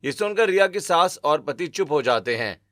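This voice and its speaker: A man's voice: a little high, animated, moderate pace, fairly bright tone, no room sound, studio quality. A man giving scripted narration for a cartoon family story.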